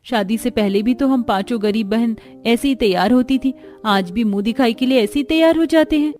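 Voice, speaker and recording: A woman's voice: a little high, brisk, darkish tone, lightly acted. A woman voicing a poor young bride in a cartoon story, speaking plaintively. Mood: resigned. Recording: music under the voice.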